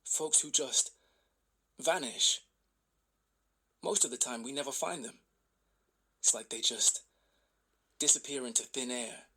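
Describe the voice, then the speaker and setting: A man playing a grave local official in a scripted witness interview, speaking haltingly with a husky voice. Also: medium pitch, bright, forceful tone, heavily acted. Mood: grave, mystified.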